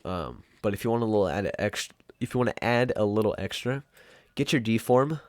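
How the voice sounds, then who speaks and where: A man giving a casual, off-the-cuff software tutorial in an offhand, rambling and informal way. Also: lowish voice, animated, a little fast. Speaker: tutorial presenter.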